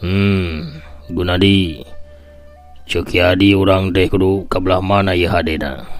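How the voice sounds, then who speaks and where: Man voices decisive male warrior chief, eldest brother, low Sundanese-accented voice, commanding, for an audio folk tale. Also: fairly even intonation, unhurried, soft background music. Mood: pondering.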